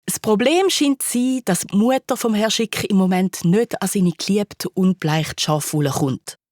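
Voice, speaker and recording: A woman narrator telling a children's story in a playful, colloquial, expressive way. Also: medium pitch, normal speed, dry recording, clean studio sound.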